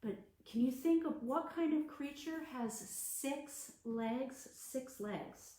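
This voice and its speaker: A woman librarian reading a storybook aloud to children on a livestream, gentle and encouraging. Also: high voice, slow. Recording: echoey room.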